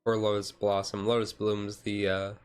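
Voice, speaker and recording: A low-voiced man talks casually on a chill livestream with very little rise and fall. He rambles in a low-key, hesitant way, with music playing behind him. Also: monotone, moderate pace, clean tone. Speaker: streamer. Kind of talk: casual chat, ASMR-style chill livestream.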